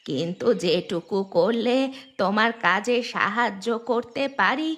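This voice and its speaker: A woman's voice: mid-range voice, measured pace, fairly bright tone. A woman voicing a weary, meek elderly mother-in-law in a cartoon, pleading and complaining plaintively. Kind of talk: Bengali cartoon voice acting. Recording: music behind the voice.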